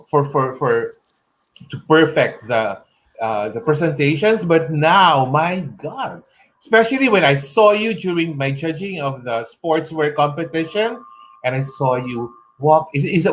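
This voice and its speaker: A man's voice: fairly high pitch, a little hiss or hum, poor audio. Gushing male online show host. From Philippines. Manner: gushing, effusive, exclaims a lot. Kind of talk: online interview show hosting. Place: home room with shelves. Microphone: earphone headset mic on video call.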